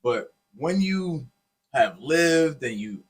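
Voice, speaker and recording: A man's voice: on the high side, expressive, slow, breathy edge. A man talking casually and earnestly as a podcast co-host, with informal, buddy-like phrasing. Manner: informal, peppered with "man" and "dude".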